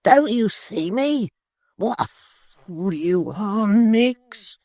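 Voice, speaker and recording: A woman's voice: mid-range voice, animated, drawn-out words, mellow, no echo, clean studio sound, pitched above the performer's own voice. Woman playing a vain young apprentice. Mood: urgent.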